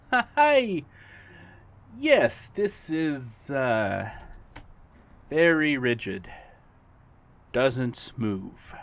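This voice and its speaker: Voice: mid-range voice, very animated, very slow, pauses often, exaggerated voice. An actor in an audio drama playing a playful, petty, non-human best friend who teases in an exaggerated, theatrical way. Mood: wary, evasive. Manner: teasing, chuckling, exaggerated.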